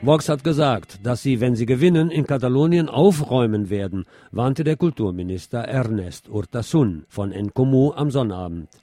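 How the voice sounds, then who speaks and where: A man's voice: mid-range voice, animated, normal speed, hardly any pauses, dark tone, faint music behind. A man reading scripted news as a radio presenter.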